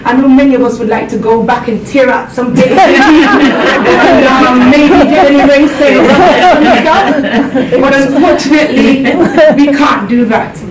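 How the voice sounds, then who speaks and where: A woman's voice: unhurried, few pauses, a loud crowd. A woman from Jamaica giving an earnest motivational talk to a seminar audience in a room. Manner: earnest, laughs along with the audience. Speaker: motivational speaker. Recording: echoey hall, distant mic, background rumble.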